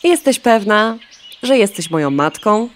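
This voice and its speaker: Cheeky, lively-pitched young hen. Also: female voice, a little slow. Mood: nonchalant. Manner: flippant, nonchalant, cheeky. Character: vain, cheeky, dim young hen, breezily self-absorbed. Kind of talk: children's radio play acting. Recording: faint birdsong and noise behind. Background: birdsong.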